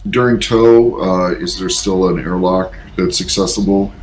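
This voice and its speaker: Deep-voiced man in a tabletop game. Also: steady tone, measured pace, poor audio. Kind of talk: casual game discussion.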